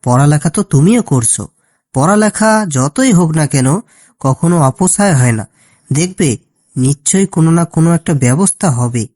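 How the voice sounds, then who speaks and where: A man's voice: on the high side, animated, normal speed, darkish tone, natural voice. A man voicing a dejected, educated, jobless young village man in a cartoon folk tale, with a plaintive, earnest delivery. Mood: earnest, hurt.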